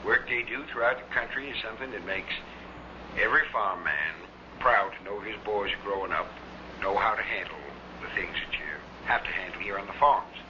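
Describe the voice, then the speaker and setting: A man's voice: on the low side, fairly quick. A man giving a formal award presentation speech on old radio. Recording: noisy, dull vintage radio recording.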